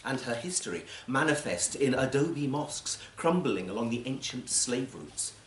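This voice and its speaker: A man narrates literary fiction with measured, dramatic phrasing. His mid-pitched voice moves expressively up and down. It is a live recording in a very roomy space. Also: sing-song, fairly bright tone, a little hiss or hum. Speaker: actor and audiobook reader. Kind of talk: literary story reading, scripted narration. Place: performance space in a library, live event.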